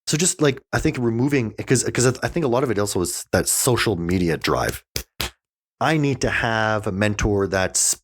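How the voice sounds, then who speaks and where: A man in his forties from Canada with a fairly low voice, a guest on a podcast. He talks casually and gets animated and emphatic, stumbling over restarts and filling gaps with "you know" while speaking close to a desk mic. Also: moderate pace. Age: 40s. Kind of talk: casual conversation. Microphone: desk mic he keeps knocking.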